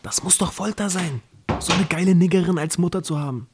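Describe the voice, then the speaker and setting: An actor playing a brash, crude young buddy with cocky street slang, in a naturalistic film drama. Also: male voice, high voice, brisk, lightly acted. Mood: crude, leering. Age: young adult. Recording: faintly noisy film location sound.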